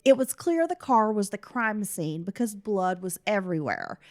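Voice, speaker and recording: A woman's voice: mid-range voice, lively intonation, moderate pace. A woman co-hosting a true crime podcast, stuffed up and congested from a cold, reading out the case details between casual chat. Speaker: podcast co-host narrating the case.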